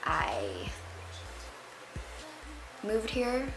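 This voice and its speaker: A woman's voice: medium pitch, wildly swooping pitch, drawn-out words, gravelly. A twenty-year-old woman from the Philippines, chatting casually and candidly as a vlogger introducing herself. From Philippines, moved to the United States at eleven. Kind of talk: casual get-to-know-me chat. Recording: music playing behind the voice. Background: music.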